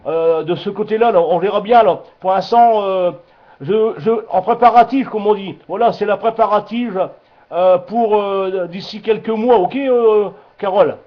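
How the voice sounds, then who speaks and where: A man's voice: high voice, a little slow. A man chatting casually over amateur radio, hesitant and repetitive, often searching for his words. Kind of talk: casual radio contact chat. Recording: muffled amateur radio transmission.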